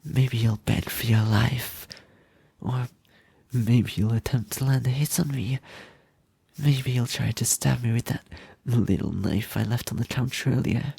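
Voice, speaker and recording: A man voicing an insane, doting, sadistic kidnapper in an ASMR roleplay, husky and often whispering, with halting, sweetly menacing delivery. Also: mid-range voice, mellow, dry recording, exaggerated voice. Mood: gleeful, taunting.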